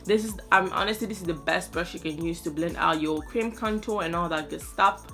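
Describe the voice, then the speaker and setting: A woman's voice: fairly low pitch, brisk, fairly bright tone, soft background music. A young woman whose first language is French, chatting through a makeup tutorial in a sassy, playful way with slang asides. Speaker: beauty vlogger.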